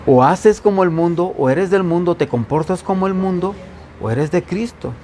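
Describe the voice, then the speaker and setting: A man's voice: high voice, lively intonation, moderate pace, mellow, a little hiss or hum. A man from the Veracruz coast preaching as pastor in an informal Bible-study sermon, with a faint Veracruz accent, often asking the congregation questions. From Veracruz coast, Mexico.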